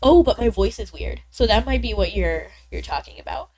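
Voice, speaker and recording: A young woman streaming a game and chatting casually with viewers, bubbly and chatty, a little congested from being sick. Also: a little high. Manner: bubbly, chatty, quick bursts of excitement. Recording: faint background game music.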